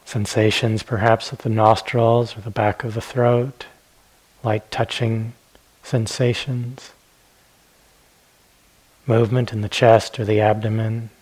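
A man teaching guided meditation in a gentle, unhurried manner, leaving long pauses between phrases. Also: on the low side, flat delivery, slightly rough, low-quality recording.

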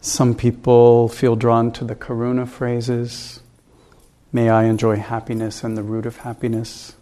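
A man leading a guided meditation as a teacher, giving calm, gentle instructions with unhurried pauses. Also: mid-range voice.

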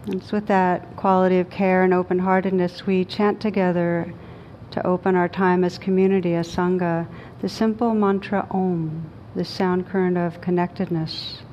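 A woman leads a guided meditation as a teacher, speaking slowly and soothingly with long pauses. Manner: slow, calm, soothing delivery with long pauses. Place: live group session in a room. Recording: dull lo-fi sound with rumbling noise.

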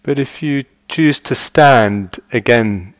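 A man guiding a meditation as a teacher, speaking gently and in measured short phrases with long pauses. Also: medium pitch, no room sound. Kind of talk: guided meditation. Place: meditation retreat hall.

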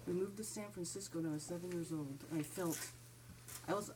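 A woman's voice: fairly low pitch, fairly quick, background noise. A woman from Southern California speaking candidly in a group discussion, laughing easily. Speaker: participant. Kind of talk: personal sharing in a group.

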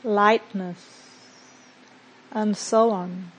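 A woman meditation teacher leading a guided mindfulness practice in a gentle, unhurried manner with long pauses between phrases. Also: mid-range voice, fairly even intonation, low-quality recording.

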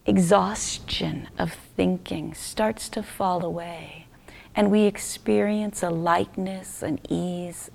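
A woman guides a meditation in a slightly low voice, thick with creak. She speaks very slowly and gently, in short phrases with long pauses. The ends of her phrases soften toward a breathy near-whisper. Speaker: meditation teacher.

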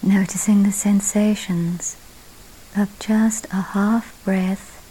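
A woman leading a calm guided meditation as a teacher, speaking in sparse, unhurried phrases with soft, breathy endings. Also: medium pitch, rough recording.

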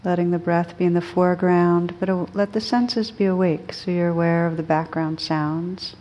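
A woman teaching meditation, guiding listeners gently and unhurriedly, with pauses between short phrases. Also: lowish voice, flat delivery. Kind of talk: guided meditation.

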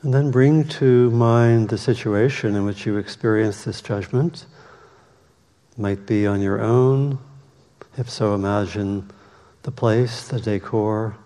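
A man leading a guided meditation as the teacher, speaking in a measured way with long pauses. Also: fairly low pitch, fairly even intonation, dark tone. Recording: long reverberant hall echo.

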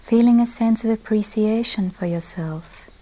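A woman meditation teacher leading a guided meditation in a gentle, unhurried way with long pauses. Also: fairly high pitch, rough recording. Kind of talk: guided loving-kindness meditation.

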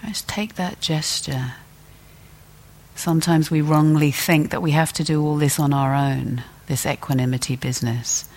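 A woman teacher leading a guided meditation in a gentle, deliberate way, leaving long pauses between phrases. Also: deep voice, fairly even intonation.

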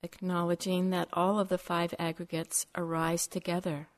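A woman teaching a guided meditation in measured, short phrases separated by long pauses. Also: on the low side, little pitch movement.

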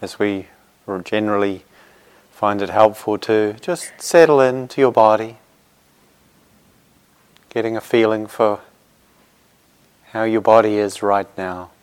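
A man leading a guided meditation as a teacher, speaking gently and reassuringly in short phrases with unhurried pauses. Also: on the low side, clear, resonant tone.

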